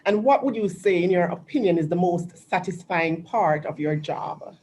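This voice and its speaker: A woman's voice: fairly low pitch, moderate pace, a little mellow, a little hiss or hum. A woman from Jamaica moderating a virtual panel on a video call, friendly and conversational.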